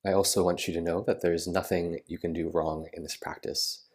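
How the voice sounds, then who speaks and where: A man guiding a meditation in a soothing manner, with unhurried phrasing and gentle pauses. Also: low-pitched, level tone, husky. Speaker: yoga nidra meditation guide. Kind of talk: guided meditation.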